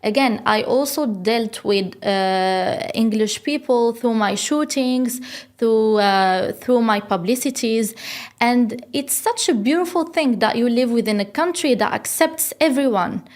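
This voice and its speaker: Young woman from morocco on a podcast, rambly, lots of "uh" and "if you get me", highish voice. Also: measured pace. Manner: chatty with frequent fillers and restarts, says "if you get me". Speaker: guest model and influencer. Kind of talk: casual interview answers.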